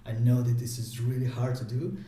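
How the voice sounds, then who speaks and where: A man who is not a native English speaker, a guest giving casual interview answers in a halting way, with many restarts and fillers. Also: medium pitch, flat delivery, dark tone. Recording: echoey room, distant mic, faint noise.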